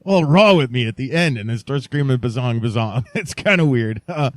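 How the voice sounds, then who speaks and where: Male podcast host, medium pitch, dry close sound, rambling, halting, tongue-in-cheek. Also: lively intonation, dry recording. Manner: halting, mock-sincere joking. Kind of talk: casual rambling podcast chat.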